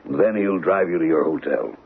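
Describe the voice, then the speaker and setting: An actor playing a fatherly, cautious old diamond dealer in a radio drama, speaking in a measured, kindly way. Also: a bass voice, vocal fry, slightly rough, full character voice. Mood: businesslike. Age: older. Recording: tinny, narrow-band radio broadcast.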